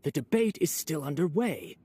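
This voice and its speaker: A man's voice: fairly high pitch, lively intonation, moderate pace, dark tone, a little hiss or hum, lightly acted. A man playing a stern, impatient debater in a video game. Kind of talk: video game character voice acting.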